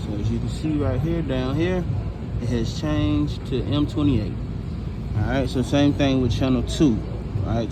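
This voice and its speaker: A man's voice: medium pitch, background noise. A man presenting a hands-on equipment tutorial as a sound engineer, explaining informally step by step and often checking that the listener follows.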